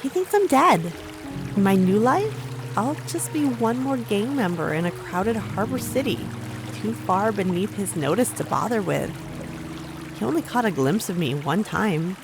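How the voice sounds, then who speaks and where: Spirited young woman for fantasy audio drama: brave, defiant, determined, slightly low voice, prominent music bed. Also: lively intonation, measured pace, creaky. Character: brave young woman, defiant rescued runaway turned gang storyteller. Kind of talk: full-cast fantasy audio drama acting.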